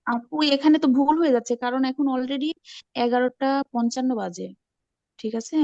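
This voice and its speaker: A woman's voice: high-pitched, moderate pace, mellow. A woman chatting casually as a participant in an online group call. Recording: muffled online call audio.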